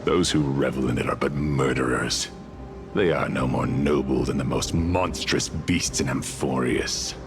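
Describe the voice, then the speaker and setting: A man's voice: rumbling low, unhurried, creaky, music in the background, heavily acted. An actor playing a ruthless, grim warrior king in a video game, speaking with grave, solemn authority. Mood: bitter scorn. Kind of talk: dramatic video game acting.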